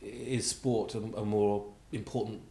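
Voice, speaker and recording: Male interviewer, fairly low pitch, echoing room sound, measured informal prompting. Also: level tone, vocal fry, dark tone.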